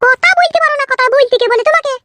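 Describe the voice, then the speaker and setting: A woman's voice: extremely high pitch, racing, very noisy. A woman voicing a bossy, hot-tempered young village wife in a cartoon, snapping and nagging sharply. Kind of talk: Bengali cartoon acting.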